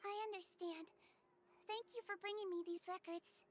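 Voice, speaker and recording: Very high, gentle little nurse. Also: female voice, normal speed. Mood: polite. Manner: polite, calm, caring. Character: small, gentle, childlike female head nurse. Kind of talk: scripted video game dialogue.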